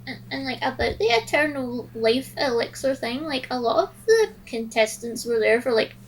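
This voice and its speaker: A woman's voice: high voice, big pitch swings, normal speed, slight background noise. A Scottish woman chatting casually on a podcast, rambling with many fillers. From Scotland. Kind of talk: casual chat. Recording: distant mic, faint room sound.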